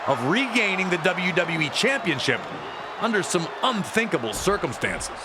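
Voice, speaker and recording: A man's voice: high-pitched, very animated, moderate pace. A man narrating wrestling action from a script in a dramatic, emphatic manner. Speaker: sports narrator. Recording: loud crowd noise behind voice. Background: roaring arena crowd.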